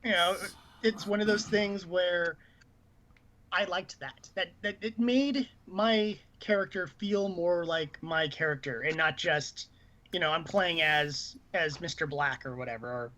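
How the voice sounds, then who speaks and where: A man's voice: on the high side, bright, forceful tone. A man chatting casually and at length as a gaming podcast co-host, full of opinions. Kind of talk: casual panel chat about video games.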